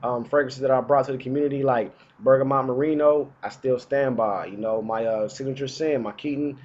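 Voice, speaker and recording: A man's voice: mid-range voice, steady tone, brisk, a little mellow. Man, 30s, getting over a cold, laid-back slangy vlog chat, small room, slightly dull lo-fi audio. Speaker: fragrance reviewer. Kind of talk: casual vlog review.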